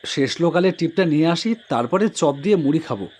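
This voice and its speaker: A man's voice: on the high side, lively intonation, a little fast, a little mellow. A man playing a humble, devoted young auto-rickshaw driver who tells his own story earnestly in a cartoon. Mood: caring, insistent. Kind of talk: first-person cartoon horror story narration with dialogue.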